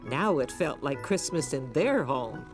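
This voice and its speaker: A woman playing a kindly storybook narrator, telling a scripted preschool story with expressive delivery. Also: very deep voice, measured pace, over music, full character voice. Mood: fond storytelling. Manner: expressive storytelling for young children.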